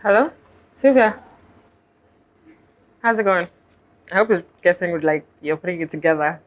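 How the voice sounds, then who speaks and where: Animated, insistent woman in a drama. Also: fairly low pitch, moderate pace, close to an everyday voice. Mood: cheerful. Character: lively, bossy young woman, devoted best friend. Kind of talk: naturalistic screen drama acting.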